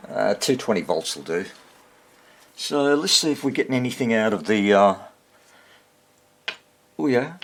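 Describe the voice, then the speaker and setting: A man giving casual, unscripted hands-on repair commentary, thinking aloud in short fragments with a husky, gravelly voice. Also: lowish voice, lively intonation, unhurried, long pauses. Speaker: hobbyist radio repairer demonstrating on video.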